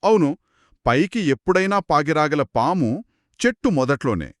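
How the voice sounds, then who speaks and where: A man telling a children's story in a conversational, expressive way, with exclamations and short questions. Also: fairly high pitch, normal speed, no echo, studio quality.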